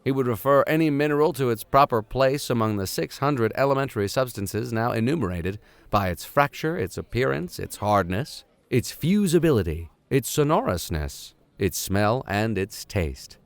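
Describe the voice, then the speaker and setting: A man's voice: mid-range voice, animated, normal speed, few pauses, clean tone, dry recording. A man reading a classic novel aloud as host, dropping irreverent, slangy asides into the formal narration. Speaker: host and reader. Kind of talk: audiobook reading with joking improvised asides.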